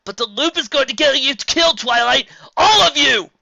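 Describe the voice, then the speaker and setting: A reader voicing Spike, a young male dragon, distraught and loyal, who chokes up and yells. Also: medium pitch, lively intonation, a little fast, creaky, dry recording, highs cut off, pitched above the performer's own voice, full character voice. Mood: shouting. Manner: choked, yelling, pleading.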